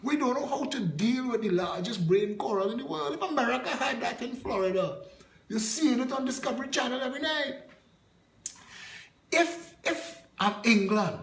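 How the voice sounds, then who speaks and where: A man's voice: extremely high pitch, lively intonation, moderate pace, fairly bright tone, roomy sound. A man from Trinidad and Tobago with a Trinidadian accent, giving a fiery, scornful political address as a party leader and firing off rhetorical questions.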